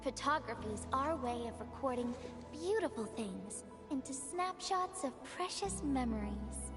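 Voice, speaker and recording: Gentle, unhurried big-sister character. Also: female voice, high voice, lively intonation. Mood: kind, encouraging. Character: kind, encouraging big-sister young woman. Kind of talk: anime game voice acting. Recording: music under the voice.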